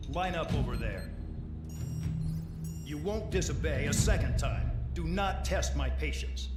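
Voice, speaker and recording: A man's voice: on the high side, close to an everyday voice. An actor playing a harsh, contemptuous male military commander in a video game, giving briefings in a stern, clipped way. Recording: echoey hall, loud music behind.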